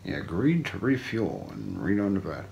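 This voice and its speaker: Man, middle-aged, ASMR mystery narrator, solemn, low-pitched, faint background noise. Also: huge pitch swings, moderate pace, creaky, a little mellow. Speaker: narrator of an unsolved-mystery story. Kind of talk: ASMR scripted narration, true-crime documentary role play. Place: small indoor room.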